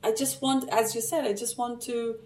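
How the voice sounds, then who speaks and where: A woman's voice: fairly high pitch, little pitch movement, moderate pace, a little mellow. A woman from Iran with a mixed non-native English accent, talking casually as an interview guest. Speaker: interview guest, theatre actor. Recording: roomy, echoey, distant from mic.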